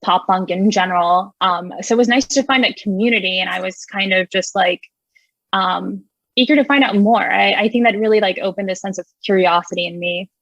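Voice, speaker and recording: A woman's voice: medium pitch, brisk, fairly bright tone, dry recording, lo-fi sound. A young woman who grew up in Indonesia, a music writer talking as a guest in a casual interview, enthusiastic and full of fillers. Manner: chatty, enthusiastic, many fillers and laughs.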